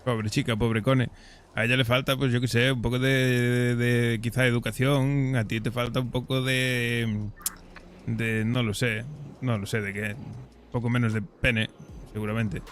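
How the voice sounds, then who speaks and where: Teasing Spaniard streamer, crude jokes. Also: male voice, medium pitch, flat delivery, a little slow, fairly bright tone, slight background noise. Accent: Spain Spanish. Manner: crude, teasing, joking banter. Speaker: streamer, roleplay player. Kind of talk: roleplay game chat.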